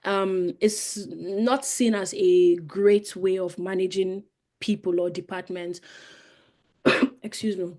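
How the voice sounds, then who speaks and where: A woman's voice: medium pitch, steady tone, takes it slowly, mellow. Young Nigerian woman giving webinar advice. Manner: conversational, explains with many fillers. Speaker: webinar presenter.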